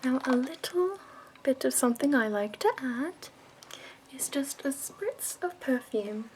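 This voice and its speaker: A woman voicing a prim, chipper escort who does your makeup, speaking softly in a half-whisper with fussy, doting praise. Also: sits high, expressive, slight background noise. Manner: soft-spoken, half-whispered and slow. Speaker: ASMR roleplay performer. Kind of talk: soft-spoken ASMR roleplay.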